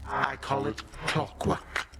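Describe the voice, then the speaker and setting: An actor playing an ancient god of time in a video game, a sinister, condescending old villain who speaks in pompous, archaic, theatrical phrases and savors each word. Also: male voice, mid-range voice, level tone, slow pace, very noisy, natural voice. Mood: scornful, smug.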